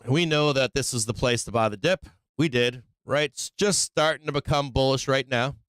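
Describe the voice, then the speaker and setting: A man's voice: mid-range voice, moderate pace, fairly bright tone, no echo, rough recording. A man who is losing his voice from being sick, hosting a casual stock-trading livestream, thinking aloud over charts and tossing in rhetorical "right?"s. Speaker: stock market livestream host.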